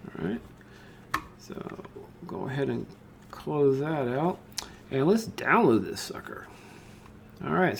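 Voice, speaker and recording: A man narrating a step-by-step software tutorial in an informal way, thinking aloud as he demonstrates. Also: on the high side, creaky.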